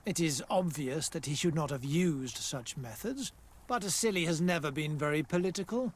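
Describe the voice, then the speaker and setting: A man's voice: on the high side, normal speed, a little hiss or hum, lightly acted. An actor playing a formal, pompous male scientist who runs a colonial laboratory in a fantasy game. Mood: defensive. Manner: formal, pompous, loftily dismissive. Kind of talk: scripted fantasy game acting.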